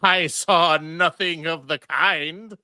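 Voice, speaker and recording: Woman doing a petulant greedy bad magician, slow and sharp, pompous, scolding, cartoon table read. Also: sits low, steady tone, dry recording, studio quality, exaggerated voice.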